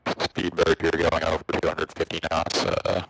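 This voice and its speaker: Very deep, monotone man. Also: normal speed. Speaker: player making radio calls. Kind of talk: deadpan radio calls in an online game. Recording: faintly noisy game mic.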